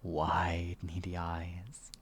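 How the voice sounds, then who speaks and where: A man playing a slow, teasing sadistic wizard. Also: deep voice, monotone, breathy edge, exaggerated voice. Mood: mocking. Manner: teasing, cooing, drifts into whispers. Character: sadistic male wizard, cruel and doting. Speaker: voice actor. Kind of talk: ASMR fantasy roleplay.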